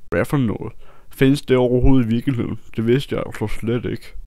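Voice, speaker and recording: A man's voice: medium pitch, steady tone, dark tone, no echo, close to an everyday voice. Man playing a gullible anime guy, dry sound. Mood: puzzled, naive. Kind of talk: amateur comedic anime fan dub.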